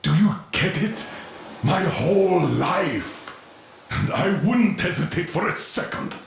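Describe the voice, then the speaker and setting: In a heavily echoing space, a middle-aged military commander from a dramatic game. He is emphatic and driven, with swinging pitch and a gravelly edge to his commands. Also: animated, slow, a little hiss or hum, full character voice. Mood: grim, forceful. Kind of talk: dramatic video game acting. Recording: very echoey, reverberant room sound.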